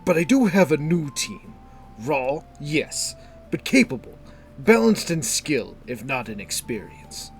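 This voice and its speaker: A man puts on a seasoned guild commander from a fantasy tale. He is gruff, stern and firm, with animated pitch and an unhurried delivery. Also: soft background music. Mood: considering.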